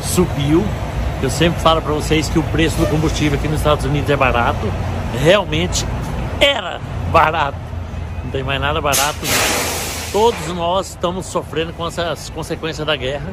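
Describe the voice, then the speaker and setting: A man's voice: fairly high pitch, moderate pace. A truck driver in his forties vlogging at a fuel pump with his phone held at arm's length, talking emphatically to his viewers. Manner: indignant, emphatic, talks to viewers as "galera". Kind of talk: casual vlog to camera. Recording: phone video with loud outdoor traffic noise.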